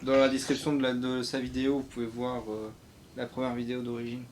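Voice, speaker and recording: A man with a mid-pitched voice chats casually as a review co-host, with faint noise in the background. His delivery is hesitant and rambling. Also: completely flat delivery, fast, darkish tone.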